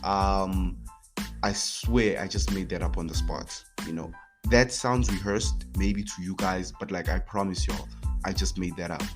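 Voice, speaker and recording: A young man with a low voice talks slowly and loosely, restarting his sentences often. He sounds a little sick as he hosts his own podcast. Soft music plays faintly behind him. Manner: rambling, relaxed, with many fillers and restarts. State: a bit sick. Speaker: podcast host. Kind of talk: casual solo faith podcast talk.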